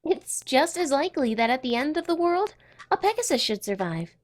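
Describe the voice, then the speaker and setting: A woman's voice: high voice, very animated, brisk. A woman voicing an eager, bookish young female cartoon pony with an earnest, wordy, apologetic manner in a comic dub.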